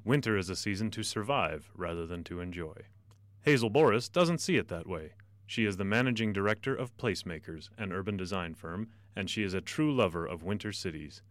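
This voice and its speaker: A man reporting a feature, narrating a script clearly and deliberately. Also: lowish voice, dry recording.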